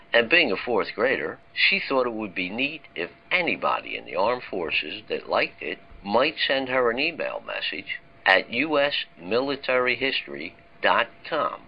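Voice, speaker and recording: A man's voice: on the low side, slightly rough. A man hosting a podcast, reading a scripted introduction. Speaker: podcast host. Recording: dull lo-fi recording.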